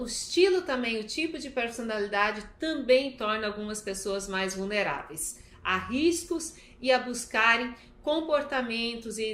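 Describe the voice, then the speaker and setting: A woman with a Brazilian accent gives an informal, explanatory talk. Her voice is a little high and her delivery is conversational, built on personal examples. The sound is roomy, with clear echo. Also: fairly bright tone. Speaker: recovery talk speaker.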